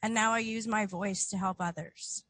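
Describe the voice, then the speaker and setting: A woman's voice: mid-range voice, steady tone, normal speed, a bit bright, no echo. A woman guest speaking reflectively and resolutely in an interview. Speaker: guest, book author. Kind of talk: interview answers.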